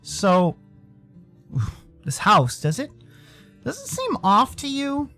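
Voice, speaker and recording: A man voicing a nervous young male furry protagonist in a visual novel, halting and unsure. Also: extremely high pitch, wildly swooping pitch, bright, forceful tone, pitched above the performer's own voice, heavily acted. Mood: anxious, hesitant. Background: faint music.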